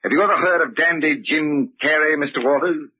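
A man's voice: a little high, brisk, slightly rough. An actor playing a bitter, controlling older father in an old-time radio drama, speaking formally with silky, condescending menace. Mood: stern, superior. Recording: muffled, lo-fi vintage radio recording.

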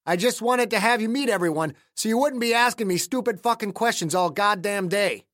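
A narrator voicing a gruff, scowling older caretaker with a folksy, surly manner. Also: mid-range voice, fairly quick, fairly bright tone, no echo, clean studio sound, pitched above the performer's own voice, close to an everyday voice. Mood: annoyed. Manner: cantankerous, folksy, tacks "eh" onto sentences.

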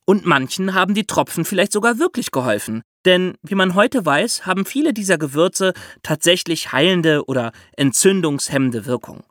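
Man storytelling, slightly high voice, dry studio. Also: animated, normal speed, few pauses, a bit bright, dry recording. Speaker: podcast host and storyteller. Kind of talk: lively explanatory storytelling for children.

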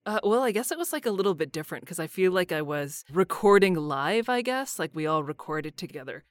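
A woman's voice: on the low side, animated, a little fast, dry recording, studio quality. A woman chatting informally as a voice actor guest, often peppering sentences with filler phrases. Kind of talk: casual chat.

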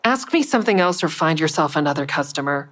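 A woman's voice: sits low, lively intonation, fast talker, a bit bright, no room sound. A woman playing a wary, stubborn mother who narrates her risky investigation in the first person in an audio drama. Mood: defiant.

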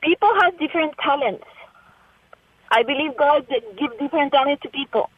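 A woman's voice: sits high, fairly quick, dry recording. A woman from Chile, earnest and heartfelt, calling in to a radio show. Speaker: caller. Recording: muffled phone line.

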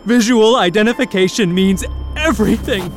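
Desperate, high-pitched, stubborn space traveler. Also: male voice, moderate pace. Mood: excited. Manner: impassioned, defiant, sarcastic. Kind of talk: science fiction audio drama acting. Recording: loud music and vehicle rumble behind.

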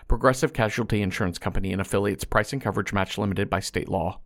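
A man's voice: lowish voice, quick pace. A man reading scripted podcast promos and sponsor spots in a conversational, wry way.

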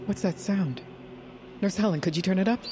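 A woman's voice: mid-range voice, brisk, background noise, close to an everyday voice. A woman playing a pragmatic, protective government agent in an audio drama. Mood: focused. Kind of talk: audio fiction acting. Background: music.

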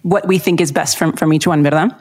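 A young adult woman hosting a conversational podcast interview, warm and affirming, with frequent fillers and restarts. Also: low-pitched, fairly even intonation, a little fast. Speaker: podcast host.

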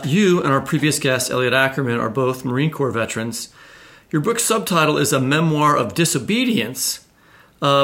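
A man's voice: fairly high pitch, fairly bright tone. A man co-hosting a podcast, a novelist, asking long, conversational, winding questions. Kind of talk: podcast interview.

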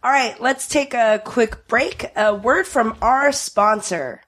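A woman's voice: a little high, slow pace, a bit bright. Woman from Taiwan co-hosting a chatty podcast. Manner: asks questions, leads segments. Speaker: podcast co-host. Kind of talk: casual chat.

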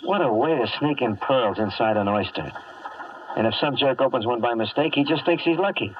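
An American man acting a wisecracking, streetwise adventurer who narrates his escapade in slangy deadpan in a 1950s radio drama. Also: mid-range voice, fairly quick, recorded from a distance, a little hiss or hum, close to an everyday voice. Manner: wry, slangy, hard-boiled deadpan. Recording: muffled vintage 1950s radio recording.

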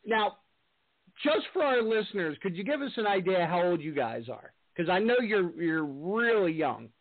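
A man's voice: high voice, sing-song, normal speed. Interview host, male, rambling questions, tinny phone-line quality. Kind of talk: casual interview.

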